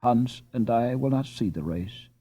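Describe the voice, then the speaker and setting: A man voicing a poor young girl who is anxious and tearful, speaking softly and slowly. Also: medium pitch, expressive, slightly rough. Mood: worried.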